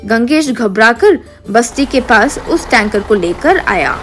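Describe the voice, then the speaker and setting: A woman narrates a scripted comic moral story. Her voice sits a little high. Soft music plays behind her. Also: lively intonation, slow pace.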